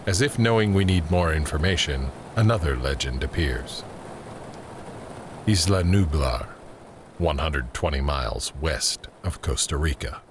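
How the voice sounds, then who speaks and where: Very deep-voiced male narrator. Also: lively intonation, noisy background. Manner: measured, descriptive. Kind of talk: scripted screenplay-style narration.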